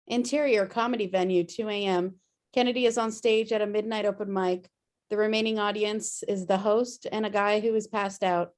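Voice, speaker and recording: A woman's voice: medium pitch, steady tone, moderate pace. A woman reading out stage directions as scripted narration at a table read.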